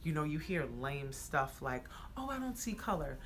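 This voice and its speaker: Low-voiced, emphatic American woman. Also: normal speed, a little hiss or hum. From United States. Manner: animated, emphatic, rising to excited peaks; acts out quoted speech. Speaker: podcast co-host. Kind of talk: casual conversation.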